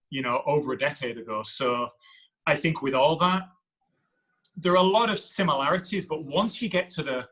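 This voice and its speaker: A man in his thirties, a soccer coach, with a deep voice and a non-American accent, answering interview questions in a reflective, thinking-aloud way. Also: bright, forceful tone, rough recording. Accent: foreign to the United States. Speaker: women's soccer head coach.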